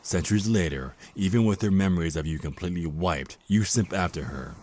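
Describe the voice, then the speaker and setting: A man playing a very deep, sneering villainous archangel. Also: fairly quick, a little mellow, slight background noise, natural voice. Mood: mocking, gloating. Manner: mocking, sneering, theatrical. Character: cruel, contemptuous male Archangel of Death. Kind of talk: dramatic villain monologue in audio roleplay.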